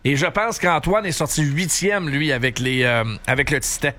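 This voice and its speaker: A man's voice: fairly high pitch, animated, normal speed, pushed and bright. A man with a Quebec French accent, hosting a casual, colloquial interview. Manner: informal, colloquial. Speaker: interviewer, host.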